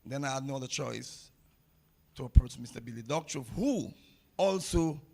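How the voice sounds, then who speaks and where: A man's voice: medium pitch, lively intonation, moderate pace, vocal fry, darkish tone. A man giving a public ceremony speech as a table tennis coach, blunt and repeating phrases for emphasis.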